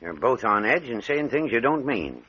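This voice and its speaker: An actor playing a folksy, fatherly country doctor with a rural American drawl and a calming manner in an old radio drama. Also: medium pitch, breathy edge, exaggerated voice. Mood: firm, calming. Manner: drawling, soothing, unhurried authority. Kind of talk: 1940s American radio acting. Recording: muffled vintage radio recording.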